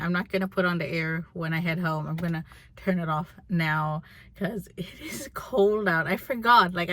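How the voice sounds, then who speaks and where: Rambling young woman vlogger, slightly low voice. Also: a bit bright, dry recording. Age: young adult. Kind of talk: casual chatty vlog.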